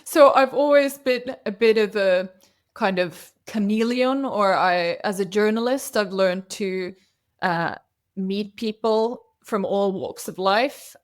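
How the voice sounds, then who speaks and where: A woman's voice: medium pitch, measured pace. A woman from Finland, a native Swedish speaker, chatting as a podcast guest and telling anecdotes with frequent restarts. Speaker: podcast guest, CEO ghostwriter. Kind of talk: casual interview chat.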